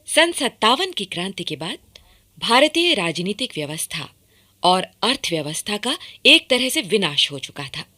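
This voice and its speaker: A woman delivering formal, emphatic scripted narration for a historical radio documentary, in measured phrases. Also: medium pitch, big pitch swings, pushed and bright.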